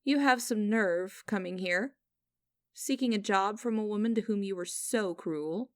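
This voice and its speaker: A woman playing a stern, mocking young company boss in a scripted audio roleplay, speaking in a formal, deliberate and condescending way. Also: medium pitch, no room sound, clean studio sound, close to an everyday voice. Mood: bitter, challenging.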